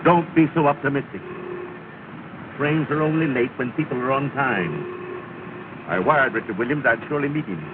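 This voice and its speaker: An actor playing a fussy, impatient, well-to-do husband, speaking in a clipped, fretful way, in 1930s film style. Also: male voice, mid-range voice, moderate pace, pauses often, recorded from a distance, natural voice. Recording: tinny, hissy 1930 film soundtrack, set back from the mic.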